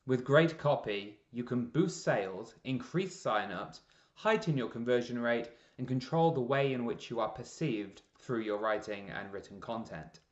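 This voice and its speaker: A man presenting a scripted promotional explainer in a measured, deliberate way with emphasis on key words. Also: mid-range voice. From Canada. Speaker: presenter, freelance copywriter. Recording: roomy echo, slightly dull lo-fi sound.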